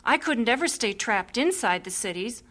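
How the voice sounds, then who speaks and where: A spirited young missionary woman, played by an actress, speaks her mind in an earnest, frank way. Her voice sits a little high, in a radio drama. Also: expressive, normal speed, fairly bright tone. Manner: earnest, outspoken.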